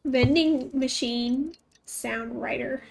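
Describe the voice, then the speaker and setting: Female game streamer: high-pitched, slow-paced, casual, playful live commentary. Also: gravelly. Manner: chatty, playful asides to viewers. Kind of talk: casual live gameplay chat. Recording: faint game music behind.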